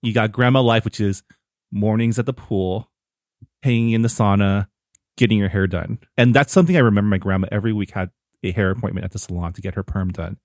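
Low-voiced man chatting about a film. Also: fast talker, no room sound, studio quality. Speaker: podcast co-host. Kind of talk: casual film discussion.

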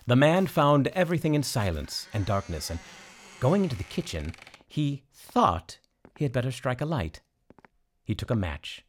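A man narrating a scripted storybook tale as storyteller, in an expressive, measured way. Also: mid-range voice.

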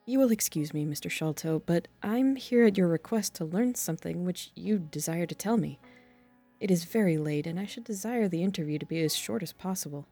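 A woman's voice: lowish voice, animated, fairly quick, dark tone, faint music behind. An actress playing a polite, reserved young lady with a firm, businesslike manner in an audio drama.

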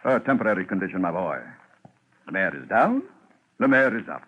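An actor playing a pompous, down-at-heel janitor with grand airs and florid, formal speech in a 1950s radio drama. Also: male voice, deep voice, very animated, brisk, fairly bright tone, slightly rough, exaggerated voice. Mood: pompous, unruffled. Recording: muffled, phone-like old radio broadcast.

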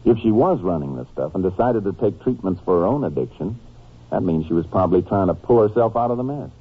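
An actor playing a steady, businesslike Texas Ranger in a 1950s radio drama, with a direct, matter-of-fact American delivery. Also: male voice, low voice, quick pace, husky. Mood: determined. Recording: muffled, narrow-band old radio recording.

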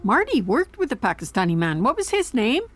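An older customer: high voice, very lively intonation, nosy, blunt, stubborn. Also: female voice, fairly quick. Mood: nosy, curious. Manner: inquisitive, blunt, rambling. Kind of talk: naturalistic audio comedy drama.

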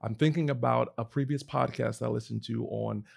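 A man talks earnestly on a podcast in a conversational style. His voice is mid-pitched and heavily gravelly, full of fry. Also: fairly even intonation, normal speed, darkish tone. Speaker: podcast participant.

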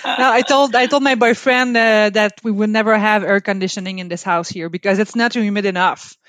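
A woman with a Quebec French accent, her first language French, talks as an interview guest calling in from home. She pauses often with fillers and restarts, her voice at a middle pitch. The sound is dry and close, with no room echo. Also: fairly even intonation, fairly bright tone. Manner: hesitant, frequent fillers and restarts. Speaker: park service guest. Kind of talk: casual interview chat.

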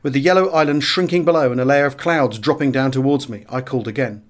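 A man with a British accent reads a first-person memoir as an audiobook narrator, in a measured, expressive storytelling manner. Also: mid-range voice. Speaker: audiobook reader.

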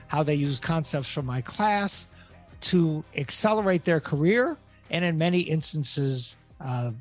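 An older man, a professor hosting a podcast, introducing and questioning a guest in a deliberate, emphatic way. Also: on the high side. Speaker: podcast host.

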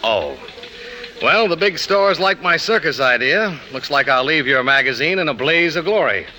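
A sardonic young man in an old radio play, with a forceful, very bright voice that swoops in a lively way. He is flippant, ambitious and needling. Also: a little high, moderate pace, low-quality recording. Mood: breezy, needling. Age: young adult. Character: cocky, wicked-tongued, ambitious young male magazine employee. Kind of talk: 1950s radio drama acting.

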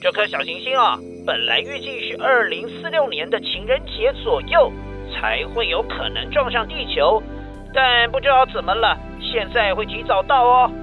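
An actor plays an urgent space control dispatcher in a children's radio play, sharp and bright in tone. The calls are repetitive and swing widely in pitch over faint music. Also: big pitch swings, moderate pace. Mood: briefing.

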